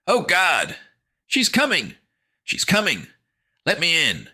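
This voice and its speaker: A man voicing a desperate boy in an audio horror story, whimpering and shouting in a strained, frantic way. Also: on the high side, huge pitch swings, slow pace, slightly rough, pitched above the performer's own voice, exaggerated voice. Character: desperate pleading boy, uncanny imposter outside a door.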